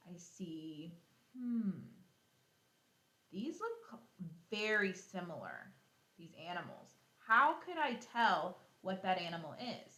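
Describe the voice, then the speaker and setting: A woman teacher giving a read-aloud lesson to young children, instructive and animated, asking questions and then answering them herself. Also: mid-range voice, reverberant. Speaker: elementary school teacher.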